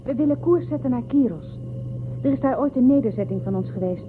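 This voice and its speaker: A young female shuttle crew member in a radio play, dutiful and careful, with a high voice. She sounds earnest and a little chiding, on a narrow lo-fi recording with music underneath. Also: lively intonation, brisk. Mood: urging. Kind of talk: radio drama acting.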